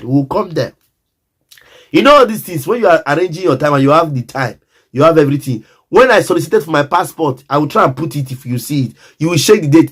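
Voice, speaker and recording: Emphatic Nigerian man, slightly high voice. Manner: emphatic and repetitive, often addresses the listener directly. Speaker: vlogger telling a personal story. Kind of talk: casual storytime monologue.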